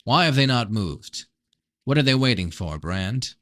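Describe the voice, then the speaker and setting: A man's voice: mid-range voice, lively intonation, measured pace, fairly bright tone, dry recording, clean studio sound. A man voicing a blunt, suspicious prince in a first-person novel reading, terse and challenging. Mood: pressing, suspicious. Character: blunt, suspicious fantasy prince interrogating his brother.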